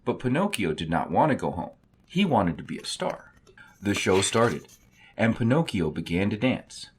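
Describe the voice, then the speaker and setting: Male storybook narrator: low, husky, animated children's-tale delivery. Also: normal speed, a little hiss or hum. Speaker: storybook reader.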